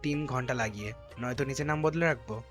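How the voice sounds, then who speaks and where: A man's voice: mid-range voice, quick pace, a bit bright, natural voice. A man playing a cheeky, mouthy younger cousin in an audio story. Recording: music behind the voice.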